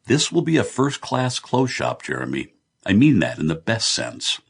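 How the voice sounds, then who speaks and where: A man's voice: fairly low pitch, huge pitch swings, moderate pace, gravelly, breathy edge, pitched above the performer's own voice, full character voice. A man voicing an affable, confident architect in an audiobook, chummy, agreeable and mildly amused. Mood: brisk, confident. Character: George, affable, confident male architect, amused old friend.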